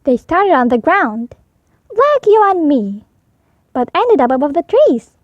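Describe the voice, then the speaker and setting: A woman reads a picture book aloud for young children. Her voice is high and very animated, with expressive storytelling and a dry, close sound. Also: measured pace, no room sound, dull audio.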